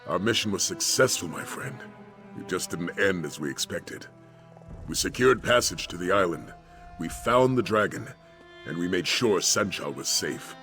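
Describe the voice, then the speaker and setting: An actor playing a steadfast, pragmatic dragon hunter in a video game, with a formal, earnest delivery. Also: male voice, sits low, expressive, moderate pace, a bit bright, soft background music. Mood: measured, pragmatic. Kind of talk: dramatic video game dialogue.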